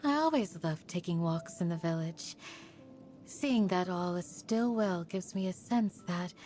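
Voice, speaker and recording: A woman voicing a calm, protective young guardian of a desert village in a video game, gentle and earnest. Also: on the low side, unhurried, soft background music, natural voice. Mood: calm, warm. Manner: gentle, earnest, composed in talk. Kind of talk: video game character voice lines.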